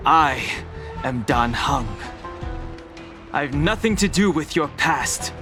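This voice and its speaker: A man's voice: fairly high pitch, lively intonation, slow. A man voicing a weary, defiant young man in a video game, firm and emphatic. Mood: defiant, exasperated. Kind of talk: video game cutscene acting. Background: background music.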